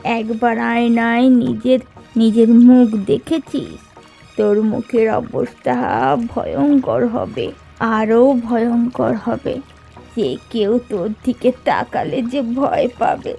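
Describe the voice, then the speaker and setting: A woman voicing a scornful, defiant old village crone in a cartoon fairy tale, taunting and scolding in a creaky voice. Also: on the high side, expressive, slow pace, warm tone, heavily acted. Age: older. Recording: background music under dialogue.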